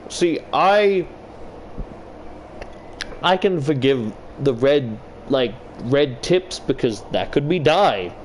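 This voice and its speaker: A man giving casual, rambling game commentary, halting and swearing freely as he talks. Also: medium pitch. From Australia. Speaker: YouTuber playing and reading a visual novel. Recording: clear steady air-conditioner background noise.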